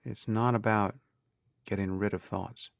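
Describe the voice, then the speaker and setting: Slow, low-voiced male meditation guide. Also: gravelly, no echo, studio quality. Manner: calm, measured, deliberate, with pauses. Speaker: meditation teacher.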